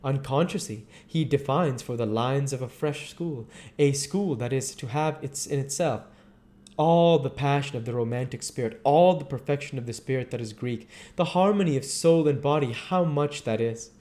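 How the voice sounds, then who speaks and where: A man's voice: medium pitch, normal speed, mellow, close to an everyday voice. A man voicing an ardent, anguished painter in a dramatic storybook reading, earnest and confessional. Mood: rapturous.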